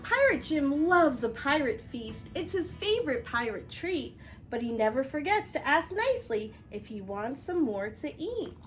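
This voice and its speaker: A woman reads a picture book to young children as their teacher. Her voice is high and very animated, and she pauses to explain and ask questions. Soft music plays behind her. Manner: expressive, instructive, addressing young viewers directly. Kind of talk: storybook read-aloud with explanations for young children.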